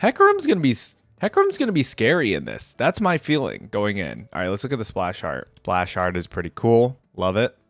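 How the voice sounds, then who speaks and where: A man's voice: on the low side, huge pitch swings, a little fast, few pauses. A man giving casual, off-the-cuff reaction commentary as a video host, thinking aloud in an informal way.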